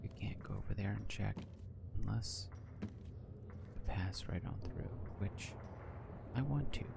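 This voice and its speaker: A man giving hushed, casual ASMR commentary on a video game, often slipping into a whisper. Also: fairly low pitch, creaky. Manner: hushed, casual, unhurried asides. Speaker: gaming video creator. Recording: loud background noise and ambience.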